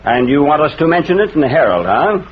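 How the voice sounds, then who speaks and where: Brusque, animated newspaper editor. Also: male voice, a little high, quick pace. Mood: wry, obliging. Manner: brusque, casual, dismissive. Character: brisk, unflappable, hard-boiled male newspaper editor. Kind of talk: 1940s radio drama acting. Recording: dull, hissy old radio recording.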